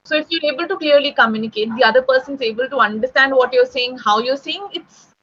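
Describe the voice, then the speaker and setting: A woman's voice: high voice, fast talker, fairly bright tone, highs cut off, a little hiss or hum. A young woman from Delhi with a Delhi Indian English accent, tutoring spoken English in a conversational online lesson and often checking in with "right?".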